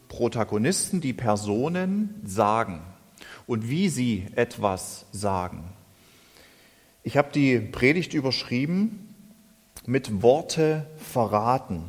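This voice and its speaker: Man from Germany, sermon, slow and deliberate, long hall reverb. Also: medium pitch. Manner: slow, deliberate, thoughtful delivery. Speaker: lay preacher.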